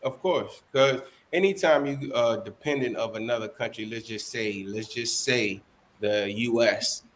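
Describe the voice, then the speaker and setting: A man's voice: mid-range voice, measured pace, a little hiss or hum. A man who is a guest in a casual interview, answering in an easygoing, hedging way with many fillers. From Dominican, born in the United States.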